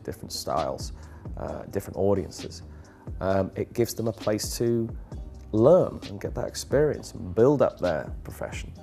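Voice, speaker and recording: A man with a lowish voice answers interview questions about live music earnestly, circling back with fillers as he goes. Faint music sits quietly under him. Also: gravelly. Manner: earnest, loose, many fillers and restarts. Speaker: music campaigner.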